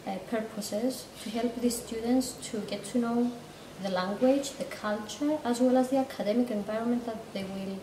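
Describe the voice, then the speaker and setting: Female teacher, calm explanatory interview, slightly high pitch, echoey noisy room. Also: normal speed, recorded from a distance. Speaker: language course teacher. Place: office with bookshelves, indoors.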